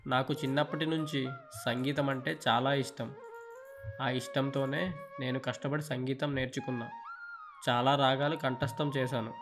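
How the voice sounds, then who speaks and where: A man's voice: medium pitch, flat delivery, normal speed, music in the background, close to an everyday voice. A man voicing an earnest, respectful young musician in a children's cartoon story. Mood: sorrowful. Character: earnest, troubled young male musician, devoted son.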